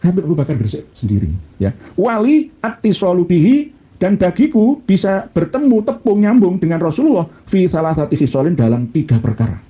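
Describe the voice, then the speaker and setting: Male cleric, Javanese Indonesian accent, quick and mellow-toned. Satirical, informal lecturing with rhetorical questions. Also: high-pitched, big pitch swings. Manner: satirical, uses rhetorical questions, mixes Arabic quotes. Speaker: Islamic cleric giving a lecture. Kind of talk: informal religious lecture reading and critiquing a book.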